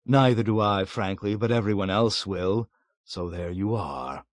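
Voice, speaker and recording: A man voicing a sly, unruffled male colonel who speaks with slow, dry irony. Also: fairly low pitch, no echo, natural voice. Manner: drawling, ironic, dryly mocking.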